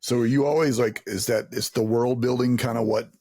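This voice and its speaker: Male podcast-style host, lowish and husky, dry close sound, chatty and rambling. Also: steady tone, fairly quick, dark tone, no room sound. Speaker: interview host. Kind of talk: casual, rambling conversational interviewing.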